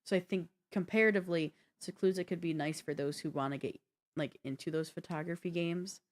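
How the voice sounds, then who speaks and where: A woman co-hosting a show in casual chat, with a halting manner full of "like". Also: on the low side, fairly even intonation. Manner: halting, uses "like" often. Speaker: show co-host.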